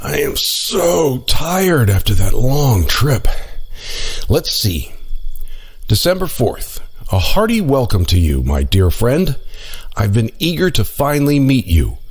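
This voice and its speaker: A man's voice: mid-range voice, sing-song, slow pace, slightly rough, exaggerated voice. A man playing an eager, polite, wide-eyed traveler, speaking earnestly in an audio drama. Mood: tired, pleased.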